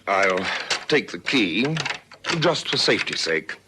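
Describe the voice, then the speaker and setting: An actor playing a domineering police lieutenant in a vintage radio drama, commanding and clipped. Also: male voice, low-pitched, very animated, takes it slowly, heavily acted. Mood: stern, commanding. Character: stern, domineering male police lieutenant questioning suspects. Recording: noisy old radio drama recording.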